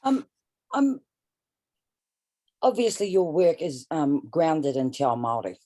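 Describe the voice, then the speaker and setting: Woman workshop participant; deep, warm voice, halting as she searches for words over a video call. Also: big pitch swings. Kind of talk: asking a question.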